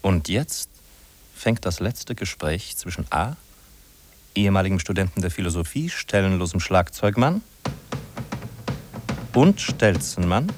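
Low-voiced, dramatically swooping male narrator. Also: lively intonation, unhurried, pauses often, faint music behind. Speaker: radio play narrator. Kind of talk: 1950s scripted dramatic narration.

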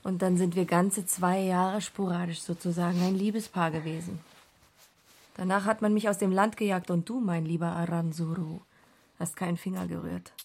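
An actress playing a brisk, coaxing and calculating woman, a returning former mistress in a radio play. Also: fairly low pitch, flat delivery, creaky, a little mellow. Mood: wry, reproachful. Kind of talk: German radio play acting.

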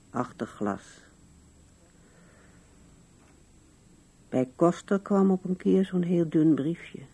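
Husky, creaky old widow, musing slowly. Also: female voice, rumbling low, warm tone, exaggerated voice. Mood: wistful. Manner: musing, resigned, talking to herself. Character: old widow musing at her husband's grave. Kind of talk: 1970s radio drama monologue.

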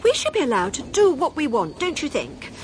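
A woman's voice: high-pitched, huge pitch swings, a little fast, slight background noise. An actress playing a brash, gossipy, much-married older Englishwoman in a radio drama, gushing and teasing with theatrical gasps and giggles, shameless and merry. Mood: breezy, teasing.

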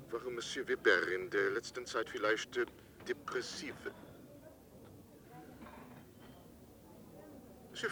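An actor playing a polite police inspector in a German radio drama, formal and hesitant. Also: male voice, sits low, background noise, natural voice. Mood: sympathetic, halting. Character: polite, halting French police inspector on the phone.

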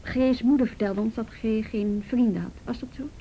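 An actress playing a brisk, persistent policewoman in a radio drama, with a probing, matter-of-fact manner. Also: a little high, mellow, lo-fi sound, a little hiss or hum, close to an everyday voice. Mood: gently insistent. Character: brisk, persistent policewoman questioning colleagues and a witness.

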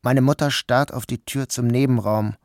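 A man narrating a literary novel in the first person, in a measured, subdued, dreamlike tone. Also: mid-range voice, fairly even intonation, no room sound, studio quality. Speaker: audiobook narrator.

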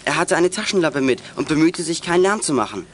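An eager boy detective in a 1980s German radio play. He talks quickly and earnestly in a fairly high voice, daring and brisk. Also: expressive, fairly bright tone, slight background noise. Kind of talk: 1980s German youth radio drama acting.

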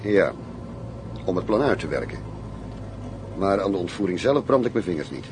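A world-weary undercover agent in a radio play. His voice is very low, his manner terse and detached. A faint rumble of noise sits behind him. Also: big pitch swings, moderate pace. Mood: cool, reluctant. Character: guarded, world-weary male undercover police agent posing as arms dealer.

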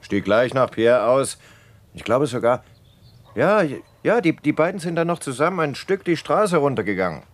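An actor in a German radio play playing a talkative bus conductor who is pedantic about punctuality. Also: male voice, mid-range voice, very animated, normal speed, close to an everyday voice. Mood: eager, self-assured. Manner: precise, eager, talkative.

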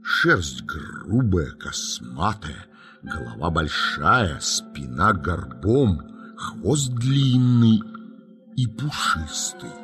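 A man's voice: low voice, fairly bright tone. A man narrating a children's nature tale in a folksy, dramatic storytelling style, savouring his words.

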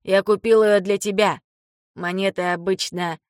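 A woman voicing an ailing older father in an audiobook, blunt, teasing and fond, speaking slowly and haltingly. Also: mid-range voice, fairly even intonation, no echo, studio quality, close to an everyday voice. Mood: firm, fond. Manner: slow, deliberate, halting for words. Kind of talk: audiobook character dialogue.

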